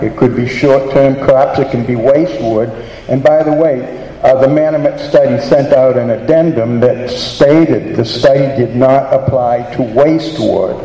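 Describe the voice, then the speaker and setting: A man's voice: mid-range voice, dark tone. A man with a Boston accent giving earnest public testimony at a hearing, hurrying with many fillers and restarts. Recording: echoey hall with long reverb.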